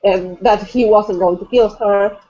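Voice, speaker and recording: A woman's voice: medium pitch, fairly even intonation, measured pace, dark tone, highs cut off. A woman co-hosting a casual, informal book chat, often repeating words as she talks, on livestream audio. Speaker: co-host of a book discussion livestream.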